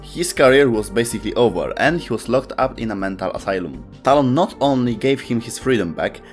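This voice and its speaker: A man's voice: medium pitch, lively intonation, a little fast. A man reading scripted explainer narration as the narrator of a video. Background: background music.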